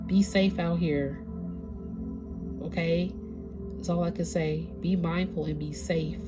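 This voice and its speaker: Woman w a stuffy congested nose, kinda low voice, chatty spiritual reading, music going in the background. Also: steady tone, slow pace. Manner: casual, conversational, searching for words. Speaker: tarot reader. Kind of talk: spiritual reading for a collective audience.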